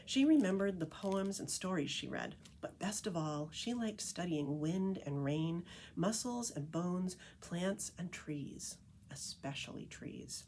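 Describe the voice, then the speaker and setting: Woman reading expressively, lower-pitched, swooping intonation. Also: animated, slow pace, darkish tone, a little hiss or hum. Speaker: librarian reading a picture book aloud. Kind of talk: children's read-aloud storytelling.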